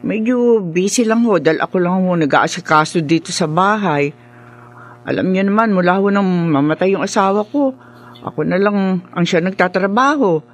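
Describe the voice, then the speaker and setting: A woman's voice: lowish voice, normal speed, close to an everyday voice. An actress playing a widowed working mother politely talking with her own mother on the phone in a radio drama. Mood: respectful. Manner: polite, respectful.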